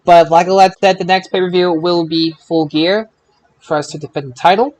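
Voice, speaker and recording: Man, high voice, podcast host, casual talk, halting repetitions. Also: steady tone, darkish tone. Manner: halting, repeats words.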